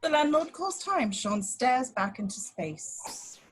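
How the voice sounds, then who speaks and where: A woman's voice: high-pitched, sing-song, slow, breathy edge, rough recording. A woman reading scripted action descriptions as narrator at an informal table read, now and then stumbling and correcting herself. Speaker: narrator of stage directions.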